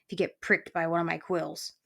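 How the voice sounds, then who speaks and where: A woman voicing a cantankerous old male porcupine in a storybook, growling colorful, folksy insults in a gravelly voice. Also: lowish voice, flat delivery, fairly quick, a bit bright. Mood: grouchy, grumbling. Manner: barking colorful insults, folksy slangy speech.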